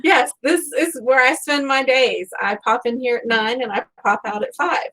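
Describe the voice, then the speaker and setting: A woman's voice: sits high, normal speed, a bit bright, rough recording. A woman hosts an informal online book show. She talks in a rambling, conversational way, with a self-deprecating streak as she shares bits of everyday life. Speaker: host of a book fan live show. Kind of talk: casual chat.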